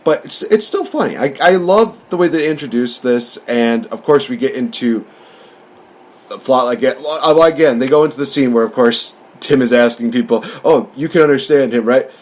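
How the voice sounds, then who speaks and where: A man's voice: medium pitch, moderate pace, a little mellow. A man hosting a casual movie review, rambling through it with enthusiasm. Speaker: podcast host and film reviewer.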